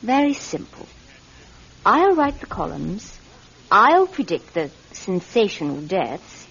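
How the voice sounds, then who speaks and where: An actress in a radio drama playing a bossy, cold-blooded, scheming sister. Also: female voice, mid-range voice, big pitch swings, slow, vocal fry, a bit bright, husky, heavily acted. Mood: coolly scheming. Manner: domineering, conniving, insistent. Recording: dull vintage radio recording, faint music.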